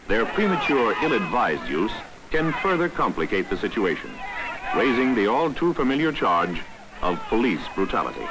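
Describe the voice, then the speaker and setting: A man's voice: lowish voice, very noisy. A man narrating a 1960s training film from a script in a formal, authoritative, instructional manner, on a vintage soundtrack.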